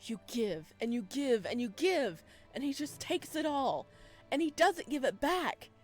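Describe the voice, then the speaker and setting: A woman's voice: high-pitched, animated, unhurried, soft background music, voice pushed up. A woman voicing a distraught, defiant imprisoned man in a visual novel, with emphatic, anguished delivery. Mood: furious, anguished. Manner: emphatic, anguished, loud outbursts.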